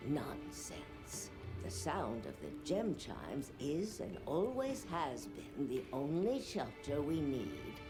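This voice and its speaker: Proud old lady elder, slow n stately, swingy pitch, game music behind. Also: wildly swooping pitch, full character voice. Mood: dismissive. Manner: measured, stately, dismissive. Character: stubborn, proud old female village elder. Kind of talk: video game cutscene acting.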